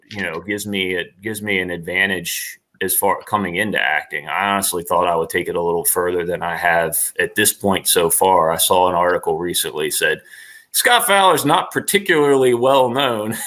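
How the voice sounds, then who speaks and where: A man's voice: deep voice, bright, forceful tone. A middle-aged man chatting casually as an interview guest on a livestream, emphatic and repeating points for stress. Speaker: interview guest, actor and former professional wrestler.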